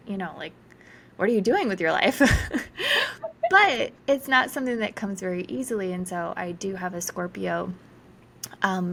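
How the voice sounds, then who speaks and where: A woman's voice: mid-range voice, vocal fry, a bit bright, a little hiss or hum. A woman from South Carolina, a guest astrologer, talking casually in an interview with many fillers and laughs. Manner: chatty, lots of fillers and laughter.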